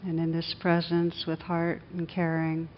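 A woman meditation teacher speaks in a lowish, fairly even voice. She guides gently and soothingly, leaving long pauses between short phrases. The recording is a little dull, with a faint rumble of noise behind her.

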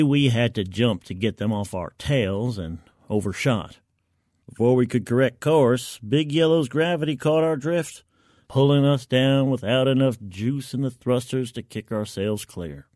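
A male narrator performs a first-person military science fiction story. His voice is medium in pitch, with a colloquial, wry and gritty soldier's delivery. The recording is very dry, with no room sound. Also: a little mellow, no echo.

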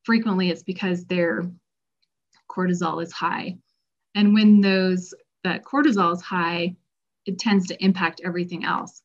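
A woman's voice: lowish voice, fairly even intonation, measured pace, a little hiss or hum. A middle-aged woman who works as a health practitioner, giving a conversational talk to patients about stress while losing her voice. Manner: conversational, acts out patient quotes. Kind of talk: informal health presentation. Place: Colorado. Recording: dull lo-fi recording.

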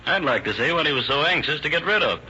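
An actor playing a shrewd, skeptical police detective, crisp and authoritative in his questioning, in an old radio drama. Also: a little high, lively intonation, quick pace, pushed and bright, faint music behind. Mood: businesslike. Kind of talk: 1940s radio acting. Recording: old lo-fi radio recording with hiss.

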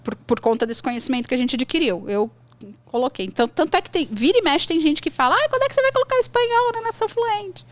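Chatty high-voiced Brazilian woman host. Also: quick pace, no echo. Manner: animated, chatty, slangy, jumps between thoughts. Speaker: podcast host, English teacher. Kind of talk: casual podcast chat.

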